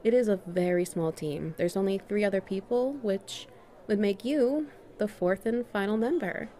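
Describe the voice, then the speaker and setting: A woman playing a confident, strict and subtly dominant female boss in a flirty audio roleplay, speaking in a measured, teasing way with deliberate pauses. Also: medium pitch, warm tone, close to an everyday voice. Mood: poised, explaining. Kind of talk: flirty one-sided roleplay dialogue.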